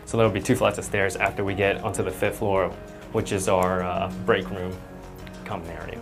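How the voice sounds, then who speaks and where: Man, low voice, tour guide narration, plain methodical explaining, clear music underneath. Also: flat delivery, a little fast. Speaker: air traffic controller giving a tour. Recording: music behind the voice.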